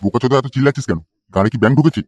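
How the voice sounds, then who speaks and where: A man voicing a gruff, teasing, grumbling village fellow in a comedy cartoon. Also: medium pitch, racing, no room sound, natural voice. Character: gruff, mocking village petrol pump guy and club mate.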